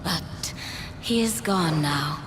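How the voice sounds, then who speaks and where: Ancient scheming mother, slow, low, regal. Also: background noise. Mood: coaxing. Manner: measured, persuasive, regal. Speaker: game character. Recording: game cutscene with loud music.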